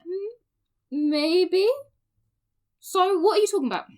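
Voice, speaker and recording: A woman's voice: very high-pitched, takes it slowly, a little mellow. An actress playing a flamboyant, teasing best friend in her twenties, bantering theatrically in an audio drama. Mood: teasing, bubbly. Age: 20s. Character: flamboyant, mischievous, charismatic young best friend.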